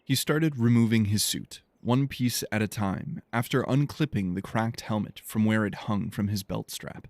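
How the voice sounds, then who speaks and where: A man with a low voice and plenty of creak narrates fiction for an audiobook. His storytelling is measured, and the sound is dry and close. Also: darkish tone, no room sound.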